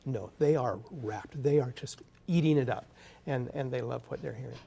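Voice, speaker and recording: A man's voice: mid-range voice, normal speed, vocal fry, mellow, slightly rough. A man speaking as an interview guest, an orchestra conductor explaining things enthusiastically in a TV studio. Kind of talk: live TV interview talk.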